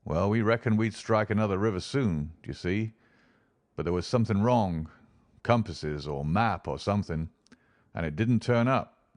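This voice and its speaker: Narrator voicing a worn-out frontiersman, low rough drawl, soft and patient. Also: no room sound, lightly acted. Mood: gentle. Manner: rough frontier dialect, tender and slow. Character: weathered frontier man, gentle and resigned.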